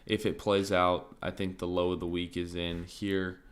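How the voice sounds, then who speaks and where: A man streaming crypto market commentary in a casual, thinking-aloud way, his voice slightly raspy and often interrupted by throat clearing. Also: deep voice, moderate pace. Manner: relaxed, thinking aloud, many fillers like "like" and "um".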